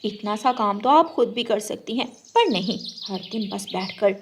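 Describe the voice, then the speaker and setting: A woman's voice: medium pitch, lively intonation, a little slow, a little hiss or hum. A woman playing a spoiled, bossy young daughter-in-law bird in a children's story, scolding and demanding. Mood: rude, impatient.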